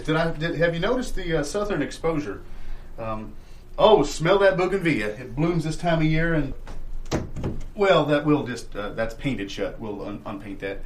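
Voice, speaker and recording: A man's voice: mid-range voice, lightly acted. Man playing a stammering, evasive landlord, noisy recording. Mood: evasive, coaxing. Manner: halting, stammering, euphemistic salesman patter. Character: evasive, sales-hungry male landlord showing shabby rental bungalow. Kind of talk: improvised comedy sketch acting.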